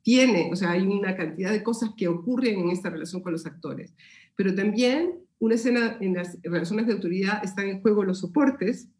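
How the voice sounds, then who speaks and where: A woman's voice: mid-range voice, fairly quick, a little mellow, reverberant. A Peruvian woman speaking Spanish with a Peruvian accent, giving a thoughtful academic seminar answer with many fillers and restarts. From Peru. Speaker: sociology lecturer answering questions.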